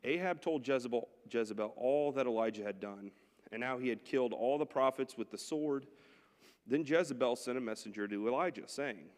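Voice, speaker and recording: A man's voice: mid-range voice, brisk, darkish tone. A man leading a church Bible class with prayer and scripture reading, speaking in a conversational, unpolished way. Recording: long hall reverb.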